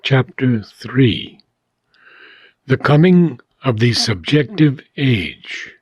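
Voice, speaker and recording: A man reading a philosophical book aloud in a measured, deliberate way, pausing between short phrases, with a husky voice. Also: medium pitch, lively intonation, no room sound, highs cut off. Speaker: audiobook reader.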